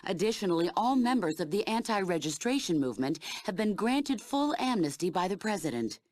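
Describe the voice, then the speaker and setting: Woman as a lively, poised news anchor. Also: medium pitch, fairly quick, dry recording, close to an everyday voice. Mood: formal, upbeat. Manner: polished, formal. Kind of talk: scripted news report in a game.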